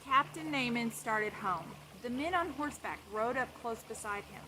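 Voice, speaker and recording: A woman's voice: fairly high pitch, normal speed. A woman reading a Bible story aloud for children, explaining it simply and repeating phrases. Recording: clear steady background noise.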